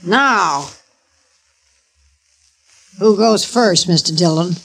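An actress in a 1950s radio drama playing a brash, tough-talking woman saloon owner who is a crack shot and quick to flare up. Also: fairly low pitch, lively intonation, vocal fry, heavily acted. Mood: brassy. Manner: brassy, boastful, quick to flare up. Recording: old radio broadcast with faint hiss.

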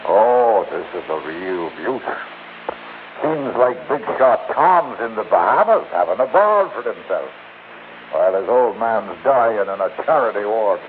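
An actor playing a cynical, slangy investigator with a mocking streetwise manner, in 1960s radio drama style. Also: male voice, fairly low pitch, big pitch swings, a little slow, narrow, tinny audio. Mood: sardonic. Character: cynical, sardonic, slangy male investigator, indignant at neglect. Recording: band-limited, faintly noisy old radio recording.

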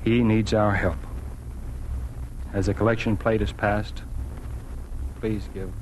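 A man's voice: on the low side, level tone, takes it slowly, a little mellow. A man acting an earnest small-town newspaper editor in a 1940s radio drama. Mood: earnest, resigned. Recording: noisy 1940s radio broadcast recording.

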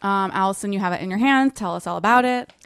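A woman's voice: medium pitch, fast talker, vocal fry, fairly bright tone, smooth, dry recording, clean studio sound. An American woman co-hosting a podcast in casual conversation about books. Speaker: podcast co-host. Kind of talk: casual book chat.

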